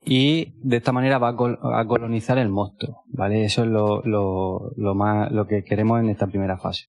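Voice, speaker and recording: Low-voiced man, halting explainer, dry sound. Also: steady tone, a little mellow, dry recording. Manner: halting, often adds checking tags. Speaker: brewing expert. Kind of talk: unscripted explanation.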